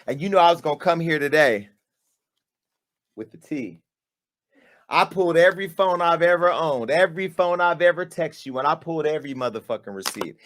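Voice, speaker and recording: A man's voice: a little high, lively intonation, brisk. Sassy man calling someone out. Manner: sassy, dramatic, shifting between hushed and loud. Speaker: media company owner and host. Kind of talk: on-camera callout monologue.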